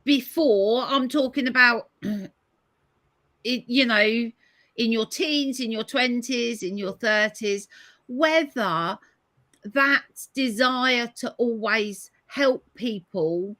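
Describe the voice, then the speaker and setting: A woman hosting a live-stream conversation over a video call, hoarse today, thoughtful as she reads out viewer comments. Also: fairly high pitch, slow, sharp tone, no room sound. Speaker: host.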